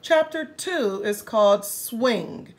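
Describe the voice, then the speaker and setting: Slow, expressive woman reading aloud. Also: mid-range voice. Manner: expressive, pauses to address young listeners. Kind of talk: read-aloud of a picture book with commentary.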